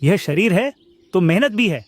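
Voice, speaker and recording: Honest, determined male songbird father for kids' animation, high and expressive, played by a man. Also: normal speed, no echo. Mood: resolute. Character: plucky, honest male sparrow father and corn seller. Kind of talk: Hindi kids' cartoon dialogue.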